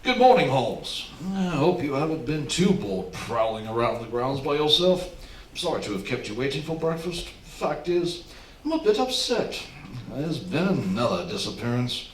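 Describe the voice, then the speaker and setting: A man plays a fretful, well-bred master of a country house with upper-class phrasing. His radio-play delivery is exclamatory and swings widely in pitch, picked up from a little way off in a roomy space. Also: sing-song, moderate pace, faint music behind, lightly acted. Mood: concerned, flustered. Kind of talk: theatrical radio drama acting. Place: theatre stage, live performance. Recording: roomy, distant stage miking, faint hiss.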